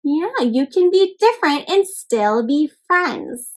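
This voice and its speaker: A woman's voice: high-pitched, sing-song, slow pace. A woman hosting an interactive storybook read-aloud for young children, speaking expressively and encouragingly and often putting questions to her listeners. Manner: addresses listeners as friends.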